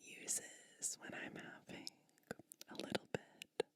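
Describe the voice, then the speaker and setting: A woman whispering a soothing ASMR roleplay as a gentle, whimsical sleep witch, speaking breathily and deliberately with pauses between short phrases. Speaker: ASMR performer playing a bedtime witch.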